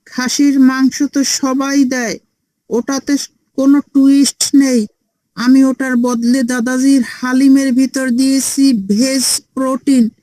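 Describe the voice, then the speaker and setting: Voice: sits high, little pitch movement, takes it slowly, mellow, no room sound, rough recording. A performer voicing a cheeky, scheming little boy in a comedy cartoon, talking like a cocky salesman. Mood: smug.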